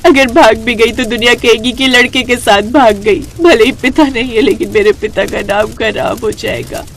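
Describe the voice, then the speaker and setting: Meek young stepdaughter for a cartoon, played by a woman: high, plaintive, pleading, faint noise behind. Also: steady tone, moderate pace, close to an everyday voice. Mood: tearful despair. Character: sorrowful, meek young stepdaughter who sells soup.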